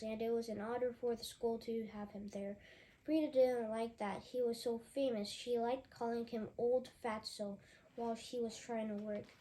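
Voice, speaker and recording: A young woman with a sore, hoarse throat from allergies, giving a casual, rambling book review with many fillers and restarts. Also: on the high side, dark tone, rough recording.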